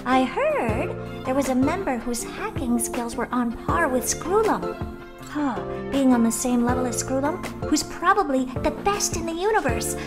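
A woman playing a haughty, blunt young female genius in a video game, flippant and condescending. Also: high-pitched. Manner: flippant, condescending, theatrically expressive. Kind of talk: video game character dialogue.

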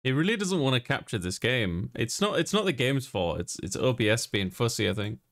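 Casual young man streaming, mid-pitched voice. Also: very animated, a little fast, vocal fry, fairly bright tone. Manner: musing aloud, informal. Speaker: video game streamer. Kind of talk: casual chat, let's play commentary.

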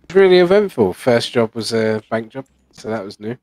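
A man's voice: mid-range voice, big pitch swings, a little fast, darkish tone. A man chatting casually in an online roleplay game as a new police officer.

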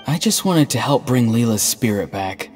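A quiet, earnest young mystic from an anime game , played by a man. He speaks calmly and with understatement at a middling pitch. His words carry a long reverb over music. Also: normal speed, natural voice. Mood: quietly determined. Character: calm, earnest, self-sacrificing young man, mystical grandson.

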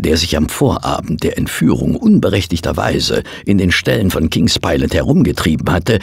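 An actor playing a composed detective's companion, narrating an audio drama in a husky, measured storytelling voice. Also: male voice, low voice, dry recording, studio quality, exaggerated voice.

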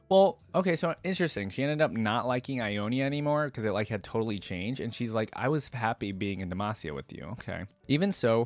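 A man's voice: mid-range voice, dry recording. A young man hosting a reaction video, reading lore aloud and adding casual, chatty commentary into a close desktop microphone. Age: young adult.

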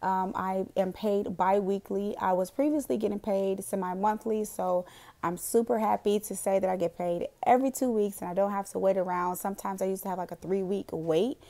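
A young woman with a raspy, hoarse voice from a cold, chatting casually in a voiceover while setting up her monthly budget planner, rambling with lots of fillers. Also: mid-range voice, steady tone, moderate pace, warm tone. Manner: chatty, rambling, with frequent "um" and laughs. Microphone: voiceover recorded separately from the footage.

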